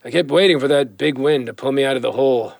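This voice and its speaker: A man's voice: medium pitch, quick pace, gravelly, no echo, clean studio sound. A man playing a weary, guilt-ridden former cop and compulsive gambler in an audio drama, brooding and confessional in manner. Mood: bitter regret.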